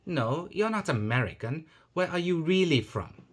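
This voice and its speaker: A man's voice: fairly high pitch, big pitch swings, normal speed, pitched above the performer's own voice. A man voicing an insistent young woman in her early twenties who keeps pressing a stranger with questions, in a slightly raised voice. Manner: pushy, triumphant questioning.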